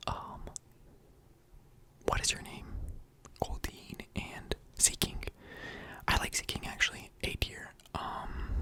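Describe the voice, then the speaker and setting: A person whispering casual, rambling ASMR-style commentary as a video host, in a hesitant and playful manner. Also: sharp tone. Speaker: video host ranking game characters.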